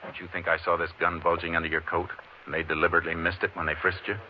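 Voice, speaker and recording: Man acting a deep-voiced tough Texas lawman. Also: little pitch movement, racing, crowd noise, lightly acted. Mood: accusing. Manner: terse, commanding, hard-boiled. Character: tough, cool-headed male Texas Ranger lawman. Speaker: actor in the lead role. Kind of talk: 1950s radio western acting with first-person narration. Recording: dull, noisy old radio recording.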